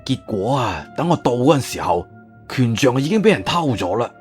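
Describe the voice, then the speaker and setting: 一個男人用粵語有聲小說嘅演法，扮演一個慌張、囉嗦、急於安撫人嘅博物館館長，講嘢猶豫多口頭禪。. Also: male voice, medium pitch, very animated, moderate pace, soft background music, lightly acted. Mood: sheepish. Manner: hesitant, filler-laden, long-winded. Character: flustered, wordy museum director, eager to placate. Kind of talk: Cantonese audiobook character acting.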